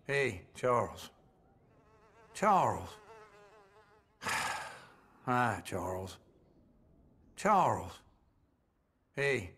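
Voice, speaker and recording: An actor playing a folksy, cranky old layabout cowboy with an American Southern accent in a video game. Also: male voice, medium pitch, fairly bright tone, slightly rough, exaggerated voice. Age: older. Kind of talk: video game character greetings.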